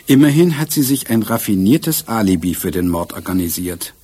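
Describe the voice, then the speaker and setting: Casting: shrewd police inspector in radio crime drama; deepish, slightly rough voice, dry irony, explains methodically. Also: male voice, animated, normal speed, mellow. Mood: explaining. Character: shrewd, self-assured male police inspector solving a murder.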